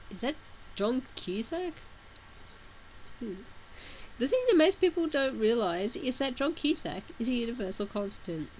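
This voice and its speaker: A woman with a sore throat reads a webcomic aloud at a middling pitch, dropping in chatty asides between passages. A faint hiss sits under her voice on a home mic. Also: fairly bright tone, poor audio. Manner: casual, chatty asides between read text. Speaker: reader of a webcomic.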